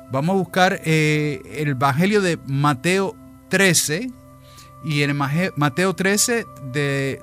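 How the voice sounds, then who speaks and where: Chatty deacon hosting a radio show. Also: male voice, fairly high pitch. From New York, of Puerto Rican parents. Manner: chatty, folksy, with frequent "eh" fillers. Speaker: radio program host, deacon. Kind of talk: casual radio talk and Bible reading.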